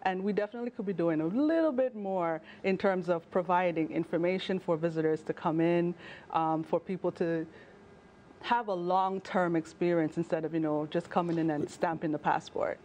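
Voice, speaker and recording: A woman in her thirties with a Jamaican accent, a travel journalist talking as a guest in a TV studio interview, animated and chatty with fillers and restarts. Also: lowish voice, moderate pace, a little hiss or hum. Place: TV news studio. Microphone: lapel mic.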